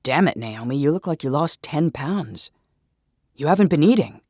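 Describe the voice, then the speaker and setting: A man's voice: fairly high pitch, animated, normal speed, a little mellow, no room sound, studio quality. A man voicing a kind, worried male friend, hurt and pleading, in a dramatic audio story. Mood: alarmed.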